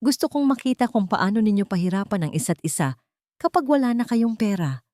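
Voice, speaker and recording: A woman's voice: medium pitch, expressive, fairly quick, darkish tone, no echo, clean studio sound, voice pushed up, lightly acted. A woman voicing a cold, vengeful, wealthy businesswoman in an audio drama, commanding and taunting. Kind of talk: dramatic audio story dialogue.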